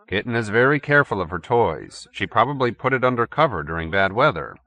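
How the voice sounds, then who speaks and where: A man voicing a gentle old man with a mild, earnest, reasonable way of talking, in an audiobook story. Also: on the low side, big pitch swings, fairly quick, no room sound, full character voice.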